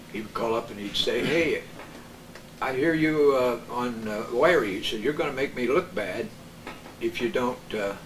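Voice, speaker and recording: An older man, a radio veteran, telling anecdotes casually in a halting, hesitant way. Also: on the low side. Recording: roomy, distant, clearly noisy.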